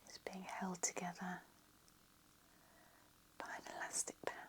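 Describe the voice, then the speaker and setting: A woman whispering a slow, soft ASMR lecture roleplay, her voice slightly croaky. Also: on the low side, completely flat delivery, poor audio.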